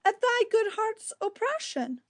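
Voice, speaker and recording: A woman playing a concerned, gentle young male cousin in a Shakespearean drama, with an earnest, deliberate delivery. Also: extremely high pitch, big pitch swings, exaggerated voice. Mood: sympathetic.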